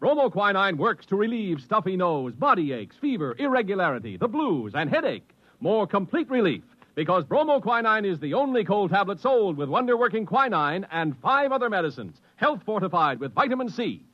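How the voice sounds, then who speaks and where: Man, American accent, high voice, 1950s commercial sales pitch, lively intonation, dry lo-fi radio sound. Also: fairly quick, no room sound. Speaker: commercial announcer. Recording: dry, dull vintage radio recording.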